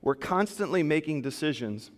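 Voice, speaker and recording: A man preaching a sermon as pastor, speaking loudly in a conversational way with casual asides, into a microphone in a church. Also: a little high, lively intonation, normal speed. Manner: loud, conversational, self-deprecating asides. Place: church sanctuary. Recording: long hall reverb in a church.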